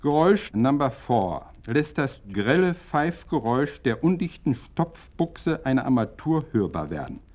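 An actor playing an earnest narrator of an old-fashioned technical instruction record, speaking formally, precisely and pedantically. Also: male voice, medium pitch, very animated, a little slow, hardly any pauses, a little mellow. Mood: earnest, instructive.

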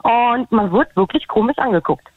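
A woman with a Berlin accent calling in to a radio show, talking in a halting, hesitant way. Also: fairly high pitch, no echo. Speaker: caller. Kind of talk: call-in radio chat. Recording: tinny phone line.

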